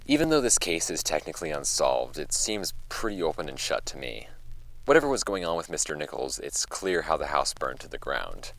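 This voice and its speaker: An actor playing a case archivist who narrates eerie witness files in a measured, hushed, deliberate horror audio drama style. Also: male voice, a bass voice, very animated, creaky, heavily acted, low-quality recording. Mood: dry, analytical. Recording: music under the voice. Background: music.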